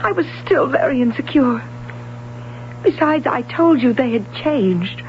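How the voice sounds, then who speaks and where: A woman's voice: high-pitched, lively intonation, a little slow. An actress playing an anxious young woman, a former swimming prodigy, earnest and hesitant, in a 1970s radio drama. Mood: confessional. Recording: dull old radio broadcast, faint hum.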